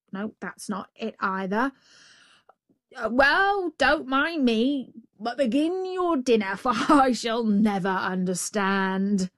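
A woman's voice: fairly high pitch, dry recording, voice pushed up. A woman playing a muddled, fretful storybook jackal, drawling. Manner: muddled, hesitant, obsequious. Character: feigning dim, fretful, wheedling male jackal. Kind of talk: storybook character voice.

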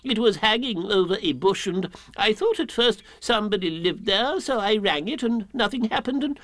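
High, pompous storybook owl. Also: male voice, expressive, fairly bright tone, no room sound. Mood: proud, chatty. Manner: pompous, formal, drawn-out delivery. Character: pompous, fussy, wordy owl, self-important storybook creature.

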